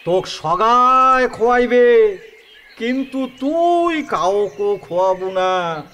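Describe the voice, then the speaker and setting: A man's voice: high voice, a bit bright, heavily acted. A man playing a pompous village sadhu who solemnly pronounces fortunes, with a North Bengal Rajbanshi accent, in an audio drama. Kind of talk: rural audio drama acting.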